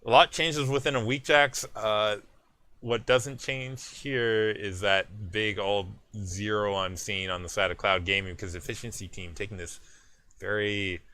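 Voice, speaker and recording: Canadian male co-host, bright and somewhat low, casual results rundown. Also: steady tone, unhurried. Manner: reads results, frequent restarts. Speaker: esports show co-host. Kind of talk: casual esports recap chat.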